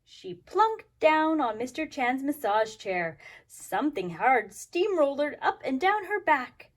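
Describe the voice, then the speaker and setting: A woman's voice: high-pitched, very animated, slow pace. A woman talking and reading aloud expressively to young children as a kindergarten teacher, explaining things simply. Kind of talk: children's story time, expressive read-aloud.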